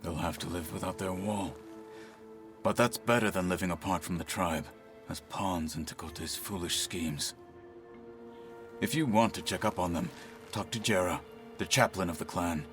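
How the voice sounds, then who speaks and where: Actor as proud, steadfast warrior marshal over music. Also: male voice, sits low, moderate pace, natural voice. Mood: calm, resolute. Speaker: video game character. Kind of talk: dramatic game dialogue.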